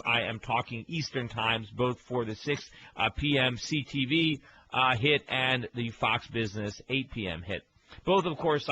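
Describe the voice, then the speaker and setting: A man hosts a call-in radio show from his home studio, his voice bright and a bit sharp. He talks in a quick, chatty run, full of fillers and restarts. A faint hiss sits underneath. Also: medium pitch, rough recording. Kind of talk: casual call-in talk radio.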